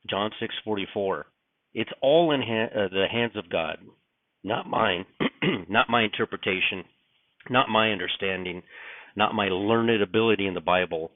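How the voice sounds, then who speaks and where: Raspy man, just up, low pitch, rambling and musing, tinny dry recording. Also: no echo. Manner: rambling, musing, frequent restarts and fillers. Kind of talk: casual spoken reflection. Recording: dry, tinny phone-like sound.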